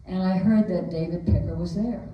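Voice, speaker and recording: A woman's voice: lowish voice, fairly even intonation, moderate pace, highs cut off. A woman who is a film producer tells a long, chatty anecdote to an audience at a theater Q&A. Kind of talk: storytelling at a Q&A. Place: large movie theater. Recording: echoey theater hall, distant mic, faint noise.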